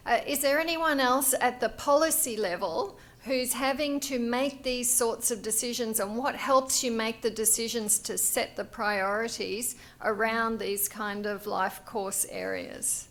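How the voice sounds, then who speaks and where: A woman's voice: on the high side, fairly even intonation, a little slow, few pauses, a bit bright. A woman moderating a live conference discussion and inviting contributions from the audience. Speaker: session moderator.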